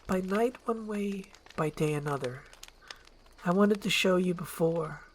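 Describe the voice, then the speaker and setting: A performer voicing a gentle, earnest young princess in a fairy-tale roleplay. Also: mid-range voice, a little slow, slight background noise, pitched above the performer's own voice, natural voice. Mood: wistful.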